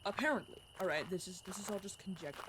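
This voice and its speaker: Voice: medium pitch, fast, background noise, natural voice. A performer playing an awkward, friendly young vampire guide in an audio roleplay, rambling and self-deprecating. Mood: sheepish rambling. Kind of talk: narrative audio roleplay acting.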